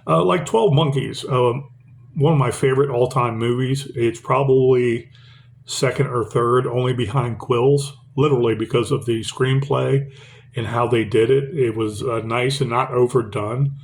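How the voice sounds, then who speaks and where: A middle-aged man with a gravelly, rough-edged voice at an ordinary pitch. He reads his own poem, then talks on in a loose, rambling way, stopping and restarting with many fillers. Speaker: poet reading his own work. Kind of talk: poetry reading and casual talk to camera.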